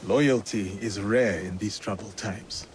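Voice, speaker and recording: An actor playing a solemn, loyal samurai in a dramatic video game, speaking in an earnest, formal way with a husky voice. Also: male voice, on the low side, takes it slowly, a little hiss or hum. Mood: respectful. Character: solemn, loyal samurai warrior in service to a lord.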